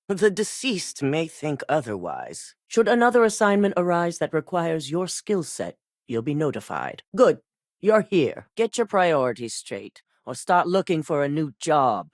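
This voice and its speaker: A woman's voice: sits low, dry recording, clean studio sound. An actress voicing a cold, commanding female CEO in a video game, curt, stern and condescending as she gives orders. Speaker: company chief giving orders.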